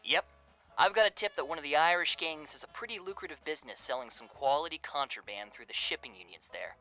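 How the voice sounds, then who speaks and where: An actor playing a slangy, falsely genial and menacing 1930s crime boss in a radio drama. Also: male voice, on the high side, expressive, fast, pushed and bright, soft background music. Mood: businesslike, dismissive. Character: smooth, menacing male crime boss of Depression-era New York.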